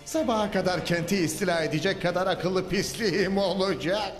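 A man's voice: high voice, a little fast, natural voice. Man voicing a gloating sewer czar villain. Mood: scheming. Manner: gloating, theatrical speeches. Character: scheming bald male sewer czar villain, grandiose. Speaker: villain in a dubbed cartoon. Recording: echoey reverb with music.

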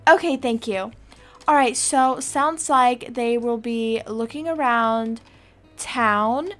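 A woman's voice: a little high, slow pace, a bit bright, soft background music. A woman playing a casual, easily alarmed adoptive mother in a video game roleplay, chattily thinking aloud. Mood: anxious, resolute.

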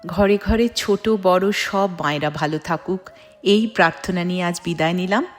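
A woman's voice: on the low side, moderate pace. A middle-aged woman narrates a personal vlog, addressing viewers as friends. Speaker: vlogger. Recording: music under the voice. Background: background music.

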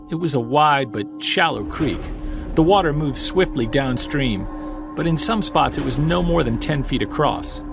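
A calm male investigator, played by a man in an audio drama talks at a middle pitch with a creaky edge. He is measured and courteous, explaining carefully over faint music. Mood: narrating. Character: calm, polite male investigator of mysterious creature sightings. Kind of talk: audio drama dialogue and narration.

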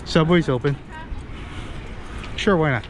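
A man giving casual, offhand commentary while walking a town street on a tour vlog. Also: a little high. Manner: slow, offhand remarks while strolling. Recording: outdoor camera audio with loud street noise. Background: loud street and traffic noise.

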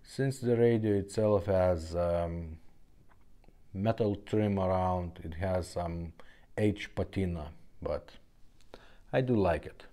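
A man with a low, mellow voice walks through a product demonstration casually. He speaks slowly and haltingly, explaining one step at a time in a low-key manner. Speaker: presenter demonstrating a restored car radio.

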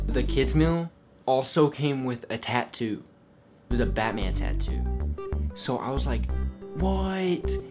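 An eighteen-year-old man vlogging in his bedroom, talking in a goofy, boastful, rambling way. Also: medium pitch. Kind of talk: comedy vlog. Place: bedroom with gaming setup.

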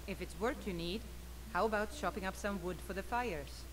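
An actress playing a matter-of-fact, obliging tavern innkeeper in a fantasy video game. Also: female voice, medium pitch, normal speed, slight background noise, close to an everyday voice, low-quality recording. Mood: businesslike. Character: businesslike female tavern innkeeper, ready to retire.

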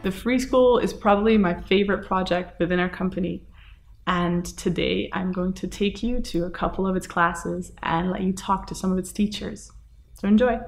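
A young woman speaking to camera as host, gentle and earnest in a plain indoor room. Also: fairly low pitch, fairly even intonation, normal speed, gravelly, faint music behind. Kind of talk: casual talk to camera.

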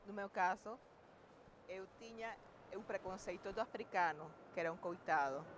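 Spanish-accented woman in her forties, halting panel guest, mid pitch. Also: slight background noise. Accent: Spanish-speaker's Portuguese. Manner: halting, reflective. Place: large indoor event hall. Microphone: handheld mic.

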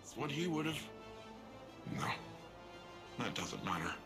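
An actor playing a young, earnest, breezy defender of a futuristic city in a video game, talking in a casual, chatty way. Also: male voice, mid-range voice, sing-song, slow pace, over music. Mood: determined.

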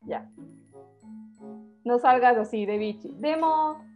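A woman's voice: fairly high pitch, slow pace, soft background music. A young woman with a Sinaloan Mexican accent, animatedly quizzing a friend on local slang over a video call. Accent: Sinaloan Mexican Spanish. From Los Mochis, Sinaloa, Mexico. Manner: animated, laughing, exclaiming. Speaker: guest quizzing the host on slang. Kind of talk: casual chat on a video call.

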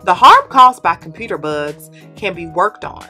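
A young woman, host of the channel, reading a children's picture book aloud in an expressive, animated way. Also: fairly low pitch, moderate pace, faint music behind. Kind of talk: read-aloud storytelling. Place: indoor studio with plain orange backdrop.

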